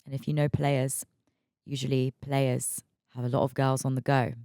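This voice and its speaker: A raspy, hungover woman in her mid-twenties hosting her own podcast, chatting candidly, laughing often and repeating words as she talks. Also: rumbling low, level tone, normal speed, mellow, dry recording, clean studio sound. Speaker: podcast host. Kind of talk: casual solo podcast chat.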